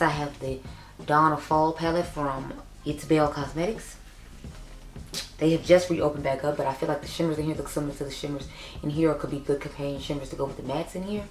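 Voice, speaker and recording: A young woman with a raspy voice, chatting casually and upbeat as she reviews makeup for her vlog. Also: low voice. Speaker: beauty YouTuber. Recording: echoey room.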